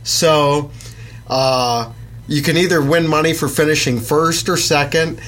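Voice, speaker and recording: A man's voice: fairly high pitch, fairly even intonation, measured pace, a bit bright. A middle-aged man from Pennsylvania hosting a podcast, stuffed up with a summer cold, chatting in a rambling way with many fillers. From Pennsylvania, USA. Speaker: podcast host and magazine editor. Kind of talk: casual podcast chat.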